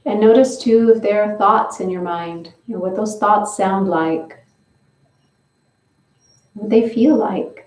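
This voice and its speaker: A woman leading a guided breathing and stretching session, giving slow, gentle step-by-step instructions. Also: medium pitch, recorded from a distance. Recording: roomy, from away from the mic.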